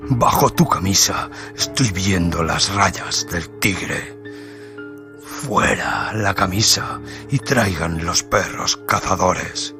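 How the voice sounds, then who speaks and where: A man plays a cruel, commanding beast tamer in a radio drama. His male voice is low and threatening, each order drawn out slowly over background music. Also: animated, exaggerated voice. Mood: menacing.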